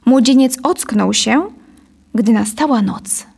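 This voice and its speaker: A woman in her thirties narrating a scripted story deliberately and expressively into a close studio microphone. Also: on the high side, creaky, a little mellow, breathy edge. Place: dim studio set, indoors. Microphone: studio condenser on a boom, close.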